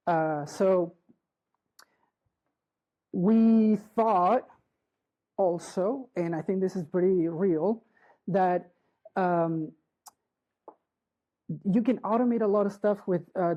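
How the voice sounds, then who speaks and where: Halting middle-aged woman presenter. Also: lowish voice, dark tone, highs cut off. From Chile. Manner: halting, restarts often, keeps checking in with the audience. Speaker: conference speaker. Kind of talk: live conference talk. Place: conference hall.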